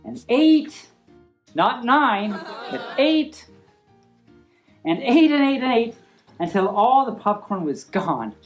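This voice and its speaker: A woman's voice: fairly high pitch, big pitch swings, slow pace, pauses often, soft background music. A woman reading a picture book aloud informally, playful and rambling, with easily sidetracked asides.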